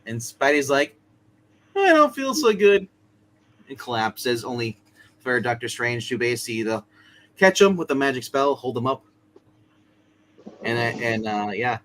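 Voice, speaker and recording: A man working as game master, narrating a tabletop roleplay story in a casual, halting way that circles back on itself. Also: mid-range voice, fairly bright tone. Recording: clear background noise.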